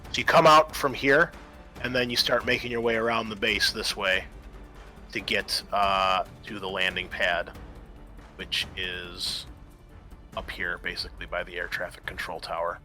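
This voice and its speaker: A man narrating a tabletop roleplaying game as game master, explaining things in a halting way. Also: deep voice, level tone, pushed and bright, soft background music.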